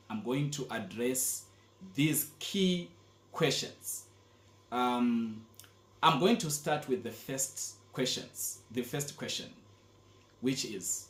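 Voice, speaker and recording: A man speaking as an immigration specialist and channel host, giving a formal, deliberate explainer with firm emphasis on key words. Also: mid-range voice. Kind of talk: scripted explainer presentation to viewers. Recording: echoey, roomy sound.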